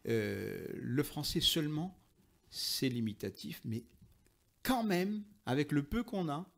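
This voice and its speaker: A man's voice: a little high, lively intonation, slow, gravelly, a bit bright. A man speaking as an expert interview guest, explaining and arguing his points emphatically. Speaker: interview guest, linguist and author. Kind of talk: explanatory interview talk, argumentative.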